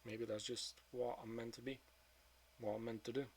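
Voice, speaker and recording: A man of twenty-two speaking in a frank, subdued confessional monologue, swearing often and trailing off into quieter mutters. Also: medium pitch, flat delivery, moderate pace, slight background noise. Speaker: vlogger.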